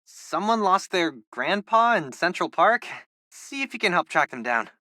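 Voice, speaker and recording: Lively, wry teenage tech-geek buddy. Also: male voice, high-pitched, measured pace, no echo, clean studio sound, full character voice. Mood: teasing. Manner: casual, wry, chatty. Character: geeky, upbeat teenage boy, loyal wisecracking best friend. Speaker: video game voice actor. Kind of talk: video game companion dialogue.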